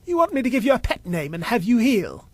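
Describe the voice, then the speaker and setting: A man's voice: very high voice, sing-song, brisk, no room sound, voice pushed up, exaggerated voice. A man voicing an eccentric, mischievous old man in an audio story, theatrical and full of teasing exclamations. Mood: teasing, provocative. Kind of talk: audio story character acting.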